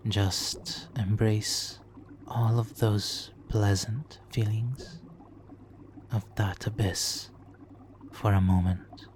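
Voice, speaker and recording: A man playing a smooth-talking supervillain nemesis in an ASMR roleplay, hushed, soothing and coaxing as he hypnotically seduces a captive hero. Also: lowish voice, fairly even intonation, slight background noise. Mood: hypnotic, coaxing. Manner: slow, hushed, soothing, coaxing with soft chuckles. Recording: water trickling behind the voice.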